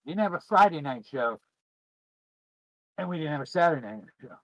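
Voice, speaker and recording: A man's voice: fairly high pitch, expressive, a little fast, a bit bright, no echo, rough recording. A middle-aged man chatting casually to viewers as a streamer, in a rambling, informal and emphatic way. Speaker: podcast host and streamer. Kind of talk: casual chat, reminiscing to viewers.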